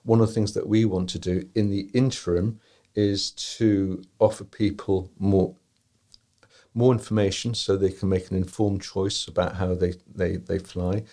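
Gravelly, measured man. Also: deep voice, steady tone, mellow. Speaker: tour company guest. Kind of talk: podcast interview answers.

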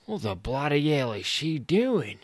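Bloke doing a cocky tv director guy, pushy, a bit higher than normal. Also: very animated, a little slow, dry recording, clean studio sound. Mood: boastful. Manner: boastful, pushy, swears. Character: reckless male TV director, boastful.

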